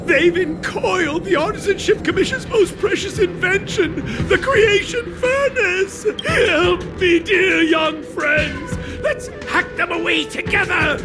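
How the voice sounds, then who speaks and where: A man playing an eccentric, excitable master inventor in a video game, theatrical and given to archaic rhyming verse. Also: extremely high pitch, slow, few pauses, shouting, music in the background. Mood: excited, alarmed. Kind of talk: video game character acting.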